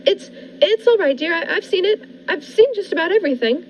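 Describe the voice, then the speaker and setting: A woman's voice: extremely high pitch, expressive, a little fast. A woman playing a frightened, trapped wife in a 1940s-style radio thriller, theatrical and despairing. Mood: nervous, evasive. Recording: dull lo-fi radio-play audio, faint noise.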